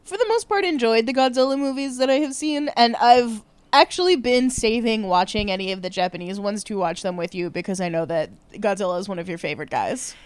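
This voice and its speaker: A woman co-hosting a podcast, easygoing and encouraging as she chats. Her voice sits a bit high, and the recording is close and dry. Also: a little fast, few pauses, smooth, no echo. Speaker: podcast co-host. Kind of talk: casual chat.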